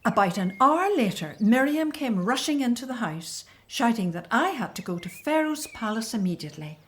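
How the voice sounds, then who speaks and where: A woman's voice: on the high side, very animated, moderate pace, a bit bright. An actress playing a loving Hebrew slave mother in a radio drama, telling things earnestly and with feeling. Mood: relieved, excited. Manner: earnest, emotional storytelling.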